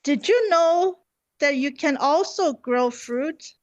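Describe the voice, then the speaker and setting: Woman as a slow, high-voiced homemaking hostess. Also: lively intonation, dry recording. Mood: serene, instructive. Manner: composed, instructional. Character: unflappable female homemaking TV hostess, serenely domestic. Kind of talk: amateur radio comedy sketch read-through. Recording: dull lo-fi online call audio.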